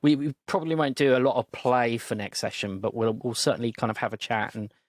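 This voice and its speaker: A man hosting a tabletop game, rambling a little with frequent restarts and easy laughter. His middle-pitched voice is close and dry, as if recorded right at the mic. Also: quick pace, dry recording. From Britain. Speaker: game master and host. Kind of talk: narrating a tabletop game and chatting.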